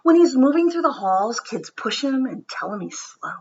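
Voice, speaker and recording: A woman reading a picture book aloud as a storyteller for children, with expressive narration and deliberate, dramatic phrasing that drops into hushed softness. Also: sits high, slightly rough, highs cut off.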